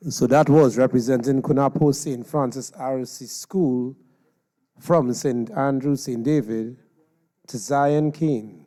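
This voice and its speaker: A man's voice: medium pitch, steady tone, takes it slowly, dark tone. A man announcing live on stage as master of ceremonies.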